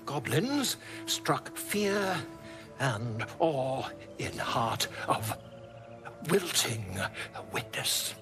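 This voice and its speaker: A man's voice: mid-range voice, big pitch swings, very slow, over music, heavily acted. An actor playing a nosy, theatrical male chronicler in a fantasy game, with pompous, florid, eager delivery.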